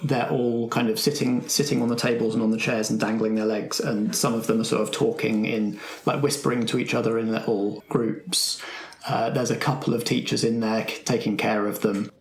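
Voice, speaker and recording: A man's middle-pitched voice in an echoey room. He is a game master, improvising scene descriptions for a tabletop roleplaying game. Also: steady tone, moderate pace, creaky.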